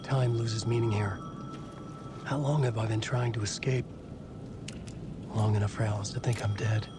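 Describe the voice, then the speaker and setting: Actor as a troubled writer over loud eerie music. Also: male voice, on the low side, steady tone, moderate pace, natural voice. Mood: searching, weary. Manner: pensive, questioning himself. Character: troubled lost male writer narrating his horror story. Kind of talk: noir voice-over narration in short phrases.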